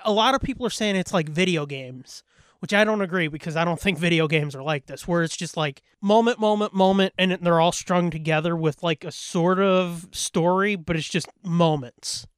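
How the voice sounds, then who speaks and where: A man with a high-pitched voice chats about films as a podcast co-host. He is blunt and opinionated, cursing freely as he makes his points. The recording is tight and dry, without room sound. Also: normal speed, clean tone, no room sound. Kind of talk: casual, opinionated film chat.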